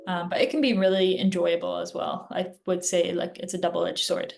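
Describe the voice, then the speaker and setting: A woman physician answering interview questions in a casual, chatty way, often pausing with "like" and "um", heard over a video call. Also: on the low side, completely flat delivery, vocal fry. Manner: chatty, filler-heavy, frequent "like" and "um". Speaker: guest physician.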